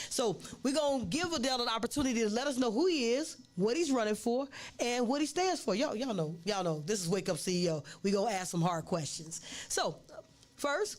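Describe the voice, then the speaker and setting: A woman hosting a casual interview, rambling and restarting her words with many fillers. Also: on the high side. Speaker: host.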